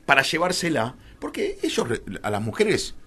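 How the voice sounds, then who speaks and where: A man's voice: on the high side, huge pitch swings, normal speed, bright, forceful tone, breathy edge. A man with a Rioplatense Argentine accent, a radio guest explaining a theory in an emphatic, digressive, conversational way, often correcting himself.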